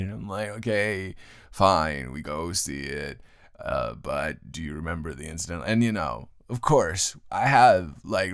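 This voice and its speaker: A man's voice: deep voice, creaky, fairly bright tone, no room sound. A man improvising a rambling, exasperated and playfully dramatic monologue as a grumpy but fond roommate, close on the mic. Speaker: audio roleplay performer.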